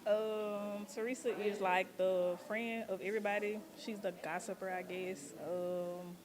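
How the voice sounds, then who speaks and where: A woman's voice: mid-range voice, level tone, unhurried. Informal young-sounding woman amid crowd chatter. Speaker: stage play actor being interviewed. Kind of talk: casual interview chat. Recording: busy crowd behind the voice.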